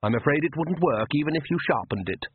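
A man voicing a smooth, condescending male vampire count who speaks calmly and politely. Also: a little high, fast, no room sound, voice pushed up. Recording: tinny phone-like dry sound.

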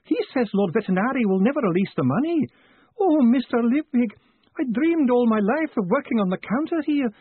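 A man acts out a wailing older woman in a storybook, high and sobbing. Her deferential, plaintive speech swoops widely. The recording is thin and phone-like. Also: huge pitch swings, a little fast, husky, no echo, exaggerated voice. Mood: tearful wailing. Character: wailing grey-haired older woman, tearful, deferential post office worker.

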